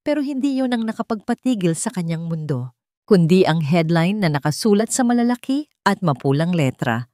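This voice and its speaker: A woman narrates a scripted dramatic story in a measured delivery, pausing between phrases for effect. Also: fairly low pitch, lively intonation, warm tone, no echo, clean studio sound.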